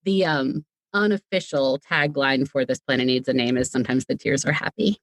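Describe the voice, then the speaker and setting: Casting: female podcast guest, low-pitched, enthusiastic and giggly, casual talk, close dry sound. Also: normal speed, dry recording. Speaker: podcast guest, audio drama creator. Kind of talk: casual chat.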